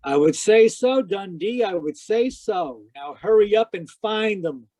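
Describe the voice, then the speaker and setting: Male actor sardonic detective narrator, high for a man, 1940s radio mystery style, no room sound. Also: animated, measured pace, a little mellow, dry recording. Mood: urgent, sardonic. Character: wisecracking private detective, sardonic and unflappable. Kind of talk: old-time radio detective first-person narration.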